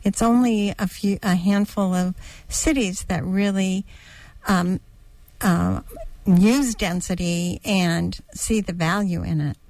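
Woman, slow, deliberate, fillers, dry studio. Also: mid-range voice, steady tone, darkish tone, no echo, poor audio. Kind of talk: casual radio chat.

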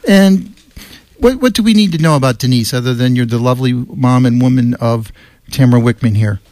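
A male radio host introduces his guests in a studio, often stumbling and restarting mid-word. His voice is middle-pitched and dry, recorded close to the mic. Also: a little fast, dry recording. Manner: stumbling over names, warm welcomes. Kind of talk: radio interview.

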